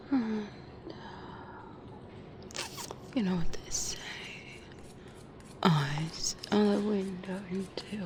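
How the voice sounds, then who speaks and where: An Australian woman voicing an alluring, eerily fascinated soul-eater doctor, hushed and lingering, in a whispered ASMR roleplay. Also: medium pitch. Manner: hushed, lingering, hesitant pauses. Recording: loud music under the whispered voice. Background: loud music.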